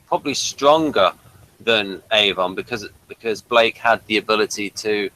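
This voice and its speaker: A man's voice: on the low side, bright, forceful tone, poor audio. A man hosting a casual review chat, talking conversationally. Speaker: reviewer and host.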